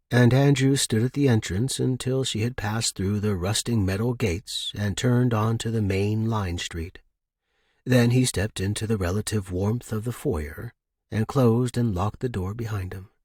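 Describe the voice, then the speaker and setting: A male podcast host reading stories as scripted narration. His voice is medium in pitch and husky, recorded close and dry with hardly any room sound. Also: darkish tone, no echo. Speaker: podcast host and story reader.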